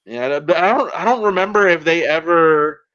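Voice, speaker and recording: A man's voice: fairly high pitch, expressive, normal speed, fairly bright tone. A man hosting a podcast in casual, conversational chat. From Miami, Florida. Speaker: podcast host. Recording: dull, band-limited podcast audio.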